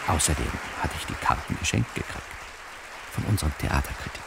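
A man's voice: rumbling low, lively intonation, fast, gravelly, a loud crowd, very noisy, heavily acted. An actor playing a wry, self-satisfied male newspaper reporter, chattily narrating his own story in a radio crime drama. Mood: wry, chatty.

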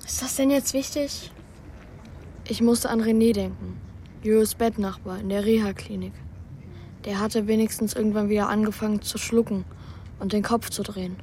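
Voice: mid-range voice, steady tone, moderate pace, warm tone, slight background noise, lightly acted. A performer voicing a young boy in a children's radio play, thoughtful and hesitant, worried about his brother, both acting and narrating. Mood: guarded.

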